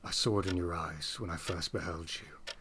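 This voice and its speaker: A passionate, brooding gentleman, played by a man in a gothic audio drama. His voice is low and deep, commanding and intense in formal phrasing, over faint background noise. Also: steady tone, normal speed, lightly acted. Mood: tender, intense.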